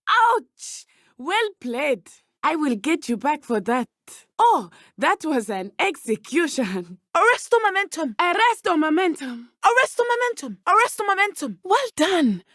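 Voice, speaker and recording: A woman's voice: fairly high pitch, dry recording, studio quality. A woman with a Zimbabwean accent voicing a brave, earnest teenage witch in a video game, speaking in formal, precise phrases. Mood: excited, urgent. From southern Africa (Matabeleland). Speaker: student companion character in a video game.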